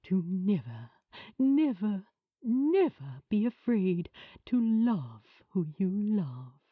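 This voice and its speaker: Woman voicing a husky, gentle male children's TV host. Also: mid-range voice, very animated, no echo, narrow, tinny audio. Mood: tender, emotional. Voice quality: husky, breathy. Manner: slow, tender, earnest. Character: gentle, kind male children's TV host saying a tearful farewell. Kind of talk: audiobook character acting.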